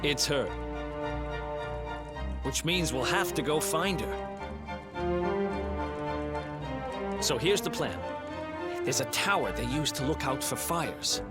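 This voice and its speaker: A man's voice: fairly high pitch, expressive, a little slow, pauses often. A man voicing a cocky, streetwise young thief in a video game, with a brash, breezy, conspiratorial manner. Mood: businesslike. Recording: loud game music behind. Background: game music.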